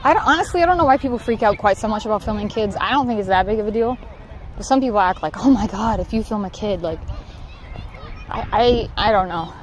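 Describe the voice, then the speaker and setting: A woman's voice: medium pitch, expressive, fast, a little hiss or hum. A woman with a Southern Californian accent, chatting casually and candidly to livestream viewers, swearing now and then. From Southern California, United States. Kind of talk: casual unscripted livestream chat. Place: outdoors while walking.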